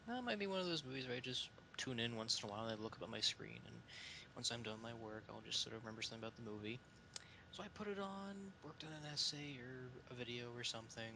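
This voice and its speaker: A man with a medium-pitched voice chats in a dry, wordy manner. Clear rumbling noise sits behind his casual banter.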